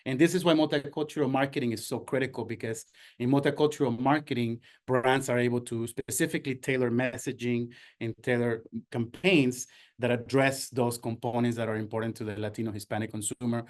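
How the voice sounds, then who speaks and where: A man in his forties from Ecuador leads a workshop presentation. His voice sits at a middle pitch, neither high nor low. He speaks earnestly, with fillers and restarts as he works through his points. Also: fairly even intonation, fairly quick. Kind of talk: presentation talk.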